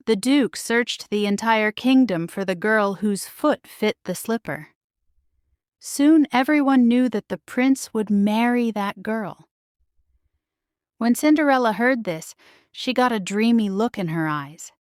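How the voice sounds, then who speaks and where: A woman narrating a children's storybook in a measured, expressive, scripted read-aloud style. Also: medium pitch, clear, resonant tone, no room sound, studio quality. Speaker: storybook reader.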